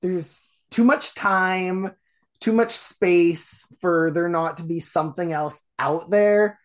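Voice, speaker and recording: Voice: medium pitch, takes it slowly. A podcast host with a Canadian accent, chatting in an easygoing, self-deprecating way. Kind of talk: casual chat.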